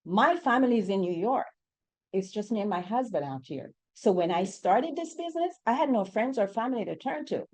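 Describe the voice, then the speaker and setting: A woman's voice: medium pitch, big pitch swings, brisk, darkish tone. A middle-aged woman from Jamaica with a Jamaican accent, speaking on a real estate panel in an emphatic way, putting rhetorical questions to the audience. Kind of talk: panel discussion.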